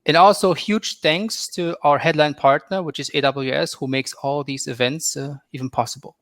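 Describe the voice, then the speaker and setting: Male host, casual and halting, open questions; slightly high voice, dry recording. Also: steady tone, a bit bright, dry recording. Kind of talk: hosting an online fireside chat.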